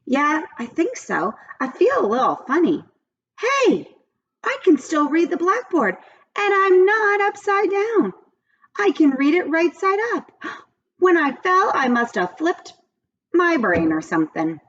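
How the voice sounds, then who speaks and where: Woman voicing an excitable high-pitched young schoolboy. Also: measured pace, dull audio, heavily acted. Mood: amazed. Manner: exclamatory, earnest. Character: excitable, slightly whiny schoolboy struggling with headstands.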